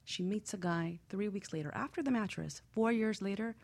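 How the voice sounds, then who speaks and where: A woman with a husky voice speaking as a guest expert on a radio show, explaining things in measured, structured examples with anecdotes. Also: medium pitch, background noise. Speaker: guest expert, feng shui practitioner.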